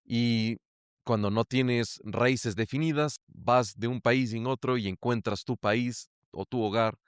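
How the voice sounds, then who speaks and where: A man's voice: medium pitch, slow, clear, resonant tone, dry recording, clean studio sound. A male podcast host who interviews his guest with curious, engaged questions and exclamations. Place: lives in Ecuador.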